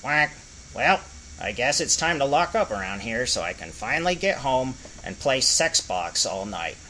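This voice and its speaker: A man's voice: medium pitch, big pitch swings, moderate pace, bright, forceful tone, a little hiss or hum, poor audio. A man doing a grumpy duck-headed orc clerk. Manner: grumpy, says "whack" as a catchphrase. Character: weary duck-headed orc taco stand worker.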